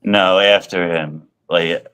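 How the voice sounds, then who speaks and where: A man's voice: sits low, fairly even intonation, takes it slowly, a bit bright, clean tone. A man in casual chat, blunt and a little hesitant, swearing now and then.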